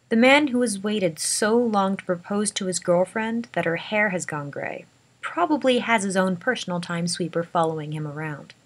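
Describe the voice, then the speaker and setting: This is a woman with a mid-range voice reading a story aloud. She delivers it as scripted narration, in the way of an amateur reader sharing short fiction with listeners. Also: mid-range voice.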